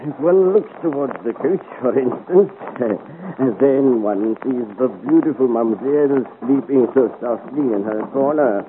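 An actor playing a grumbling, wry middle-aged merchant in a 1950s radio serial, fussy and theatrical. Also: male voice, medium pitch, unhurried, phone-quality sound, natural voice. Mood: wry, sly. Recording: scratchy 1950 radio recording, thin band.

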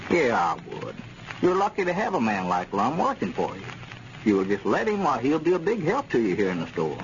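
A plain-spoken small-town peacemaker in an old radio comedy. His voice swings widely in pitch as he reasons. The sound is muffled, with faint noise. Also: wildly swooping pitch, fairly quick. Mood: earnest, reasoning. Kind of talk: 1930s radio comedy acting. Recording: scratchy, muffled 1930s radio recording.

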